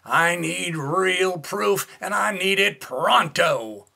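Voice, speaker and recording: A man's voice: high voice, lively intonation, slow pace, vocal fry, exaggerated voice. A man playing a brash, skeptical American government official in a radio drama, blunt and scoffing. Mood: brusque, demanding.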